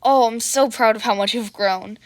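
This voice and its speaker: A woman's voice: on the high side, moderate pace, no echo, natural voice. A woman voicing a doting, playful father who soothes and fawns over the children in an audio fan drama. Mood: fond gushing. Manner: fawning, soothing.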